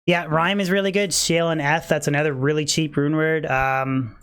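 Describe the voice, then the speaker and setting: A man's voice: on the high side, fairly even intonation, moderate pace, a bit bright. A man livestreaming a game with casual, rambling, slangy commentary, self-deprecating, his voice a little tired. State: voice tiring. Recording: clearly noisy background.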